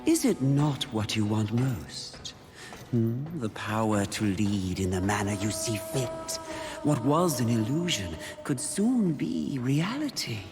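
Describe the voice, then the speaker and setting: A man's voice: medium pitch, animated, takes it slowly, in a large hall. A man playing a seductive, mocking demon of temptation in a video game cutscene, with silky theatrical delivery. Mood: coaxing. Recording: loud music behind.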